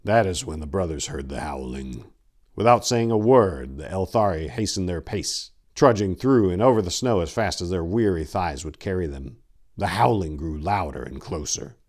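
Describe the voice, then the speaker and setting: Very deep-voiced man narrating dark fantasy. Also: animated, normal speed. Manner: solemn, theatrical, grave. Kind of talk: dramatic reading of dark fantasy fiction.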